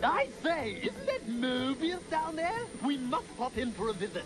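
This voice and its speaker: A man's voice: very high-pitched, very animated, unhurried, music in the background, exaggerated voice. A man voicing a jolly, naive alien prince in a cartoon, with an effusive manner. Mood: jolly, curious. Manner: posh, effusive, exclamatory. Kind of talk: animated cartoon acting.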